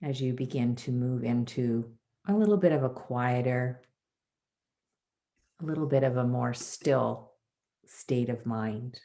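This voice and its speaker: A woman leading a guided body scan meditation as a teacher, soothing and measured, with pauses between phrases. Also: extremely low pitch, creaky, warm tone.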